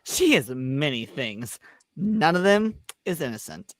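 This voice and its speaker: A woman's voice: low-pitched, very animated, unhurried, no room sound, pitched below the performer's own voice. A woman reading the part of a grandiose, mocking, all-powerful trickster villain in a sci-fi script. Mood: smug, theatrical.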